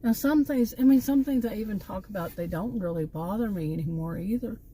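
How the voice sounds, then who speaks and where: A woman's voice: mid-range voice, a little fast, mellow, slight background noise, low-quality recording. A middle-aged American woman chatting casually and digressively, often trailing off and circling back to her point. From Texas, United States. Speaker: vlogger. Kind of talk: casual rambling chit-chat. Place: outdoors near a park.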